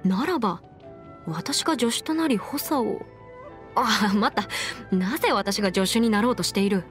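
A woman voicing a confident, brisk young half-immortal lawyer in an anime game, with crisp, formal phrasing and music behind her. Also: medium pitch, lively intonation, close to an everyday voice. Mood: indignant, determined. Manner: crisp, self-assured, formal mannish phrasing. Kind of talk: scripted anime game character voice lines. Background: background music.

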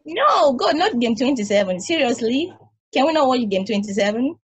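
A weary space probe who tries to keep the others calm, read by a woman on a video call. The voice sits a little high and sounds husky, with a tired, placating manner. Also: normal speed, full character voice. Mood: exasperated. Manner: tired, placating, then exasperated.

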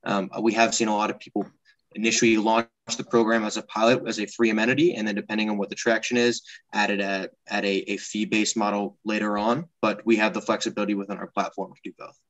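A man's voice: lowish voice, little pitch movement, quick pace, fairly bright tone, poor audio. A man in his twenties explaining a product and answering questions as a presenter in an online meeting. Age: 20s. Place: indoor room at home, on a video call. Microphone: wired earbuds on a video call.

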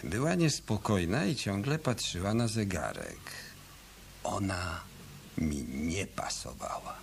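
A man's voice: low voice, big pitch swings, slow pace, vocal fry, slight background noise, full character voice. An actor playing a brash, slangy, streetwise tough guy in a hardboiled radio drama. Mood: cocky storytelling. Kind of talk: 1990s radio drama acting.

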